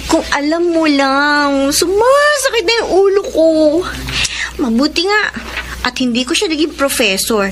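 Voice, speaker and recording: Radio drama part: earnest, firm young student, high-pitched and expressive, on a noisy track. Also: female voice, a little slow. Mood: exasperated, confiding. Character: principled young woman student fending off a suitor. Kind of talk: Filipino radio drama acting.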